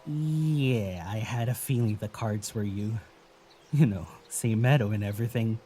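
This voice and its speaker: An actor playing a gentle, wary young traveler in a naturalistic audio drama, speaking in a hesitant, musing way. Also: mid-range voice, unhurried, soft background music, close to an everyday voice. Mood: friendly, tentative.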